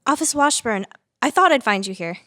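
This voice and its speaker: A woman's voice: on the high side, lively intonation, brisk, pushed and bright, no room sound, clean studio sound. A woman playing a sassy, mild-mouthed evidence officer in a dramatic table read, teasing and unruffled.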